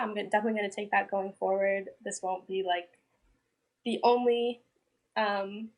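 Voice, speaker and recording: A young woman speaks in a flat, steady tone with a lot of vocal fry. She is a student guest on a live show, talking casually and filling her sentences with "like" and "um.". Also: medium pitch, low-quality recording. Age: young adult. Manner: casual, filler-heavy, says "like" often. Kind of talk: casual interview answers.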